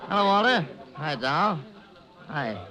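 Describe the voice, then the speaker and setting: An actor playing a smug, oily little gossip columnist in a 1940s radio drama, needling with mock politeness. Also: male voice, fairly high pitch, sing-song, takes it slowly, music in the background, poor audio.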